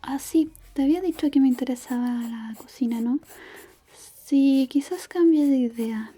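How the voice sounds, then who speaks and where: A woman doing a soft-spoken, half-whispered ASMR roleplay as a shy, caring high school classmate, gentle and hesitant. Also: moderate pace. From Chile.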